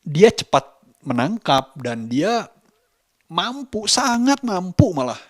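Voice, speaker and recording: A man's voice: high-pitched, sing-song, dry recording, studio quality. A man talking reflectively in a casual vlog, hesitant and often searching for words. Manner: hesitant, halting, searching for words with repeated phrases. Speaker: vlogger and host.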